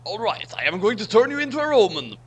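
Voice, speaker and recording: A man's voice: high voice, very animated, quick pace, very noisy. A man talking casually as a co-reader in a group read-aloud. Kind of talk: casual chat. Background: music.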